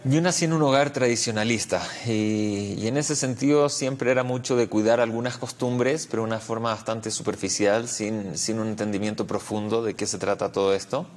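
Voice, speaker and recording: A man with a Chilean accent speaks on the low side as an interview guest. He is a rabbi who explains things reflectively, often repeating a word while he finds the next phrase. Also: steady tone, moderate pace, hardly any pauses.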